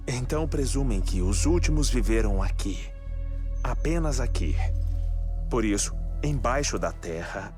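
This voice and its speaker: A scholarly Brazilian scientist from a video game cutscene, eccentric and marked by grief. His voice sits on the low side and he speaks in a measured, reflective way. Soft music plays underneath. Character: grieving, eccentric, scholarly male scientist with a failing heart.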